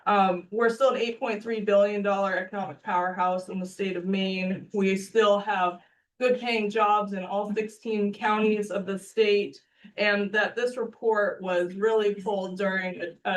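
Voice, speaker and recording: A woman leading off a breakfast panel as executive director, earnest and upbeat, with the odd um. Her pitch sits in the middle, and she sounds set back from the microphone in a meeting room. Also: fairly even intonation, moderate pace, recorded from a distance, low-quality recording. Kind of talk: opening remarks at a panel breakfast.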